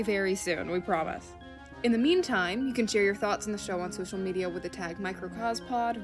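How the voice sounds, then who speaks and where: A woman reading a scripted podcast announcement to listeners. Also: mid-range voice. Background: music.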